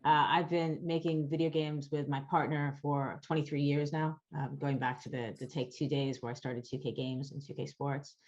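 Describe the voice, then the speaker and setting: A woman, a game studio director on a panel, talking briskly and upbeat. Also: deep voice, flat delivery, a little mellow. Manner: brisk and upbeat, quick bursts. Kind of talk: panel discussion. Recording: dull lo-fi call audio.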